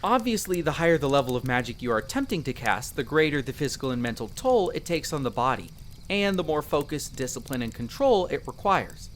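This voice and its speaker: A man's voice: medium pitch, lively intonation, brisk, a little hiss or hum, close to an everyday voice. A man voicing a friendly, eager young elf explorer in a roleplay audio drama, chatting playfully and explaining patiently to the listener. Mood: earnest, explanatory. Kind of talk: in-character conversational roleplay addressed to the listener.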